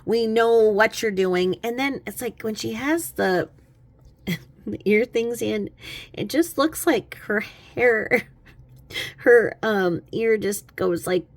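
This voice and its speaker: A woman's voice: fairly high pitch, takes it slowly, no room sound. A woman giving casual, mocking reaction commentary in a snarky, rambling, chuckling way. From lives in a small religious community. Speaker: commentary vlogger.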